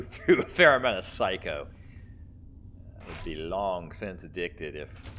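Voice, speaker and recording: A man's voice: deep voice, a bit bright, a little hiss or hum. A man giving casual, rambling commentary as he plays a video game, thinking aloud. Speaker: gaming video host.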